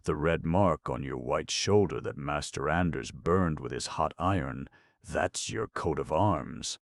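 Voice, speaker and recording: A man's voice: extremely low pitch, creaky, dry recording, studio quality, heavily acted. A man doing a weepy, doting lover. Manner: coaxing, tearful endearments. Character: fond, weepy older male lover, coaxing.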